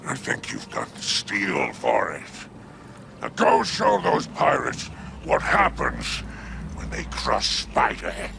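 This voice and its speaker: A sly alien crime boss from a video game, gravelly and slow. He is pompous and sardonic, swinging wildly in pitch over loud music. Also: huge pitch swings, background noise, exaggerated voice. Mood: smug, scheming. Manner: florid, drawling, sardonic. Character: sly, pompous alien crime-lord spider, theatrical and scheming. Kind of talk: video game cutscene acting.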